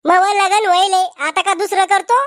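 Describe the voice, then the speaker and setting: A man voicing a cheeky, quarrelsome village cartoon character with a Varhadi Marathi accent and a pitched-up voice. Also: fairly even intonation, moderate pace, a bit bright, no echo, studio quality. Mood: scolding. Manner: brash, sarcastic, bickering.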